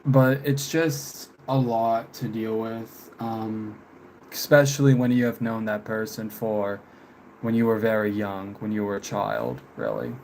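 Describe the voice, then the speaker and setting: A young man in his twenties talks casually on a live stream at an unhurried pace. He is halting and reflective, often letting sentences trail away. There is faint noise behind his voice. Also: lowish voice, a little mellow, rough recording. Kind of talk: casual live stream chat answering viewers. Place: room with window blinds, indoors.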